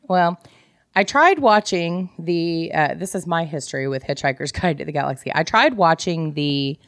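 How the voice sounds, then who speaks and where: Female podcast co-host, low register, dry recording; informal, teasing book-club banter. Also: animated, normal speed, no room sound. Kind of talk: casual book club chat.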